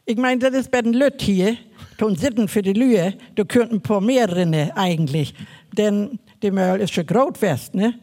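An older woman with a Mecklenburg Low German accent, chatting as a guest. She rambles a little, working into long stories. Her voice sits at an ordinary middle pitch. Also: moderate pace, dark tone. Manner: chatty, rambling dialect speech. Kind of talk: casual chat on stage.

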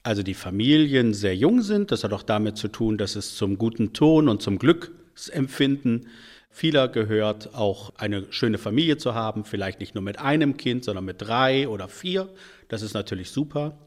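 Thoughtful man explaining, softly trailing off. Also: lowish voice, lively intonation, normal speed. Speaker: church official interviewed. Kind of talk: interview answers.

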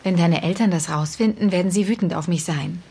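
A woman's voice: on the low side, brisk, vocal fry, darkish tone, a little hiss or hum. A woman playing a tender, worried carer in a radio drama. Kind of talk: German radio drama acting.

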